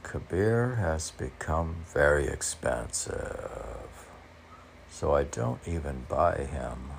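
A man's voice: a bass voice, lively intonation, slow pace, creaky, a little hiss or hum. A man informally reading his own poetry and musing aloud about it, often questioning himself as if in conversation. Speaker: poet reading and commenting on own poems.

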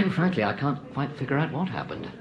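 An actor playing a genial but evasive English gentleman in a radio drama, with breezy, chummy upper-class chatter. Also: mid-range voice, big pitch swings, normal speed, lo-fi sound, lightly acted. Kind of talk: 1940s radio drama acting.

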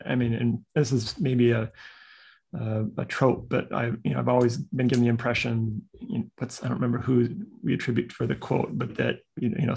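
A man's voice: medium pitch, vocal fry, darkish tone, husky. A man interviewing a guest, asking long meandering questions and giving frequent short backchannels.

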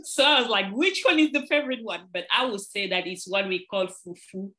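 Bright-voiced woman from Cameroon. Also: mid-range voice, animated, fairly quick. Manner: warm, laughs readily, explains plainly. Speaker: interview guest, nurse practitioner. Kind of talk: casual interview chat.

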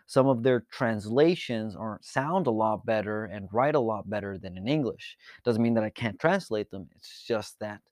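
Male host, lower register, earnest and halting reflective solo talk, dry close sound. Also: expressive, darkish tone, no room sound. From Mexico and the United States. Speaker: podcast and YouTube channel host. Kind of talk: informal reflective monologue introducing a channel.

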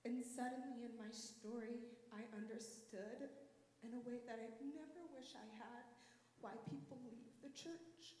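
A woman from Colorado gives a personal testimony at a church service. She speaks loudly and earnestly, her voice sits a little high, and she pauses often with fillers. The sound rings with heavy echo and a long hall reverb. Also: steady tone, a little hiss or hum. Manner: loud, earnest, pausing with fillers. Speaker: church member sharing testimony. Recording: echoey hall, heard from a distance.

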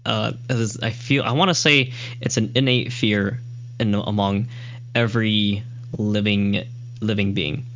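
Gravelly, rambling male podcast host. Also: fairly low pitch, steady tone, measured pace, fairly bright tone, dull audio, a little hiss or hum. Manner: rambling, with restarts and likes. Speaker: show host reviewing a series. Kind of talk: casual podcast chat.

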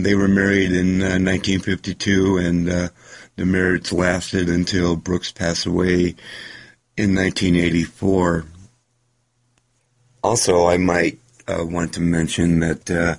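A man hosting a podcast, giving an informal, halting introduction to an old radio show. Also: low voice. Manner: halting, hesitant delivery. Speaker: podcast host.

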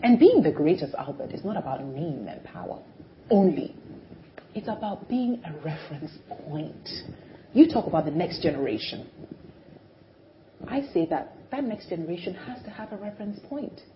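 A middle-aged woman, a television personality, speaking as an interview guest in an animated, emphatic way that swings between loud and soft. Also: on the low side, normal speed, slight background noise. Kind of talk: conversational interview. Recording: dull lo-fi recording.

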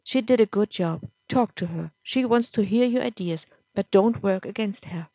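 A woman voicing a soft-spoken wise council spirit. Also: mid-range voice, no room sound, natural voice, poor audio. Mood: soothing. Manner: soft, measured, reassuring. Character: calm, wise genderless council spirit of dust.

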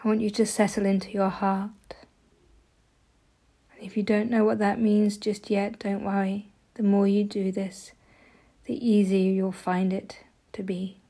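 Female meditation guide, mellow and breathy, with an even, barely moving pitch and soothing, gentle instruction. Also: unhurried. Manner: soft, gentle delivery, often breathy.

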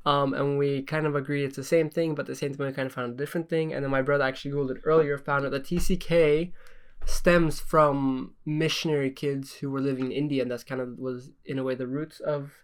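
A man's voice: mid-range voice, flat delivery, brisk, clean tone. A young man interviewing his younger brother in a casual chat, asking rambling questions full of fillers.

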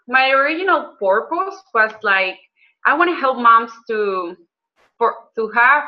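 A woman tells her story as an interview guest, earnest and halting, pausing on ums and restarting her sentences. Her voice sits in a middle pitch, and the recording sounds slightly dull and muffled. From Venezuela. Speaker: guest, health coach and business owner. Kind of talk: conversational interview.

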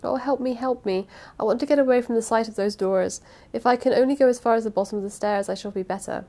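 A woman's voice: mid-range voice, fairly even intonation, fairly quick, dark tone, close to an everyday voice. A woman voicing a frightened, faint niece in an audiobook, pleading urgently in despair with repeated phrases. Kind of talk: audiobook character voice.

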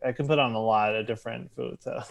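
A man's voice: mid-range voice, fairly even intonation, quick pace, creaky. A man from Maryland chatting as a podcast guest, hesitant and full of fillers and restarts. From Maryland, USA. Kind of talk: casual interview chat.

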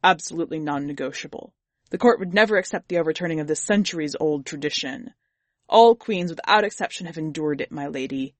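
A woman's voice: sits low, fairly quick, no room sound, voice pushed down, lightly acted. A loyal, prudent male counselor from a fantasy storybook, voiced by a woman narrator. He speaks with courtly formality and firm emphasis. The sound is dry and close. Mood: firm, insistent.

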